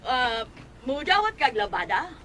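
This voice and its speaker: Older filipino neighbor lady, cebuano accent, slightly high voice, radio soap delivery. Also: very animated, a little slow, fairly bright tone, full character voice. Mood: businesslike. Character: older neighbour woman offering paid laundry work. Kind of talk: Filipino radio drama acting in Bisaya.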